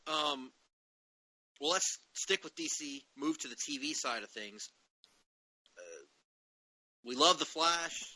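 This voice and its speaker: Bright, forceful man hosting a podcast. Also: on the high side, expressive, slow pace, pauses often, no echo, low-quality recording. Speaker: podcast host. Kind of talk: casual discussion of comic-book TV shows.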